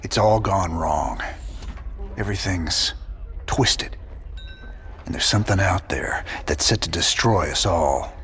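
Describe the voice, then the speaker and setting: An actor playing a gruff, world-weary space rebel commander in a video game, terse. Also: male voice, low voice, slow pace, long pauses, fairly bright tone, a little hiss or hum. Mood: troubled. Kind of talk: video game cutscene acting. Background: music.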